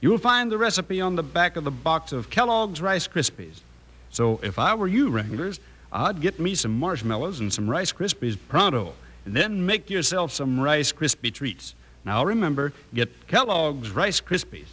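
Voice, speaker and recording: A man's voice: a little high, sing-song, moderate pace, fairly bright tone. An American man delivering an old-time cowboy radio ad in a folksy, enthusiastic manner. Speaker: radio pitchman. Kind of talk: 1950s cowboy-style radio advertising.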